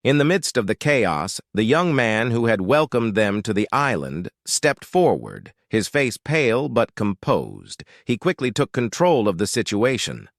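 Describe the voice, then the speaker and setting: A man's voice: lowish voice, big pitch swings, measured pace, few pauses, no room sound, clean studio sound. A man narrating a suspenseful mystery story in a dramatic, scripted style.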